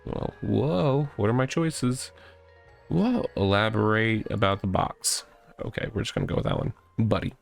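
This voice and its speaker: Gravelly man commenting on a game. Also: on the low side, expressive, normal speed. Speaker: let's play host. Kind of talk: game commentary and read-aloud narration. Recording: music behind the voice.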